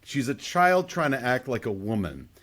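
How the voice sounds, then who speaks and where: Male film podcaster, mid-pitched and very animated, opinionated, emphatic, conversational. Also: normal speed. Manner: opinionated, emphatic, repeats points. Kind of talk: casual film discussion.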